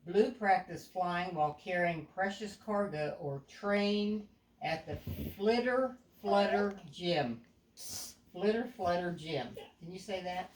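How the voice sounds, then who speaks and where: An older woman reads a children's story aloud like a grandmother, deliberately, pausing to explain things to a child. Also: fairly low pitch. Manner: deliberate, explaining, with stumbles over words. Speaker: grandmother reading a picture book to a child. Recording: echoey room with background noise.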